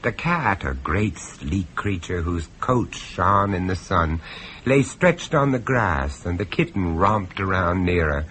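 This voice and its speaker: A man's voice: low voice, lively intonation, slow pace, fairly bright tone, exaggerated voice. An actor playing an urbane, sardonic horror star narrating his tale in a radio play. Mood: storytelling. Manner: sardonic, droll, polished. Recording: noisy radio drama recording.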